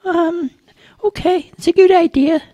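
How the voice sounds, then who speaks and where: A man's voice: very high voice, fairly even intonation, unhurried, warm tone, muffled recording, voice pushed up. A man voicing a timid, eager young Irish boy in a storybook tale. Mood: timid, agreeable.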